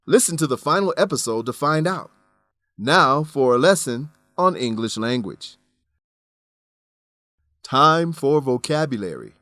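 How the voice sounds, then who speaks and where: A man narrating a radio drama and teaching vocabulary in a scripted, clear, explanatory manner. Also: on the high side, fairly bright tone, no echo. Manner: clear, explanatory, measured emphasis.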